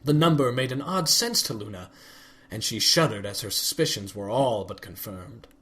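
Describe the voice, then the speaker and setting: Man narrating a story with lively pitch. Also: normal speed. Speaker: reader. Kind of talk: reading fan fiction aloud.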